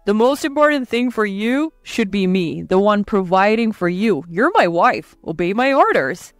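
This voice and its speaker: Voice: mid-range voice, animated, moderate pace, no echo, close to an everyday voice. A performer voicing a domineering, irritable husband in a narrated story, scolding and commanding with contempt. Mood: sneering, threatening. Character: domineering, irritable, sneering husband berating his wife. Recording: dry close voice over faint music.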